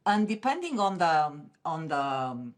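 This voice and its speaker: A woman's voice: low voice, very animated, a little slow. A woman with a Romanian accent, a podcast guest speaking earnestly and passionately, often restarting her sentences. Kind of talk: interview conversation.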